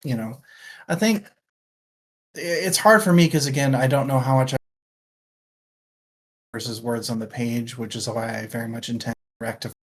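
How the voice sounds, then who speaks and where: Chatty book podcast host, middle pitch, kinda wry, lots of hedging. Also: moderate pace, pauses often. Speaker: book discussion show host. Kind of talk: casual chat, book discussion.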